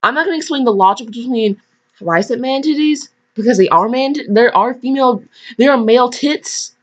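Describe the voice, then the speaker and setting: A woman's voice: on the high side, a little fast. A woman casually reading a story aloud, stumbling and breaking off for chatty, jokey asides. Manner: self-interrupting, stumbling, jokey. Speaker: fan fiction reader. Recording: dull lo-fi microphone.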